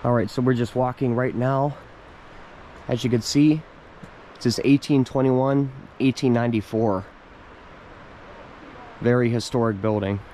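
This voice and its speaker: A man's voice: mid-range voice, measured pace, pauses often, a little hiss or hum. Man narrating a cold outdoor hike. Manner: relaxed, admiring, reads plaque aloud. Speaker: hiking vlogger. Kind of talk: casual hiking vlog narration. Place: outdoors in a wooded park.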